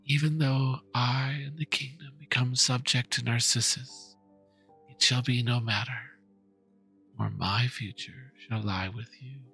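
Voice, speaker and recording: A man voicing a gentle, selfless princess in a solemn, earnest way in a fairy-tale reading. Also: medium pitch, steady tone, measured pace, creaky, breathy edge, soft background music, heavily acted, rough recording. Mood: solemn, hopeful.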